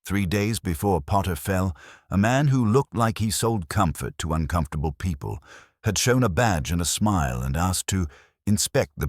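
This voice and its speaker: A man's voice: rumbling low, no echo, studio quality. A man narrating a first-person detective story in a wry, hard-boiled way. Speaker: audiobook narrator.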